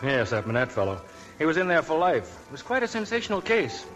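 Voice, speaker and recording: An actor playing a chatty, deferential hotel clerk in a 1940s radio drama. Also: male voice, a little high, big pitch swings, fast, a bit bright, soft background music, low-quality recording. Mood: chatty, obliging.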